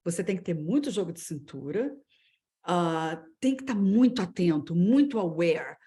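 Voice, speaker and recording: A middle-aged woman from Brazil talks with animation in an online panel, often dropping English words into her sentences. Her voice sits a touch low. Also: animated, normal speed, warm tone. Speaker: guest speaker.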